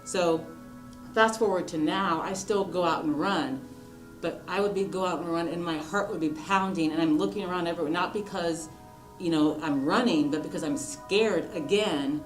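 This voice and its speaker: A woman speaking in a measured, earnest way, giving thoughtful interview answers. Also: lowish voice. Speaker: interviewee on mental health and diversity. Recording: roomy echo, music underneath. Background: background music.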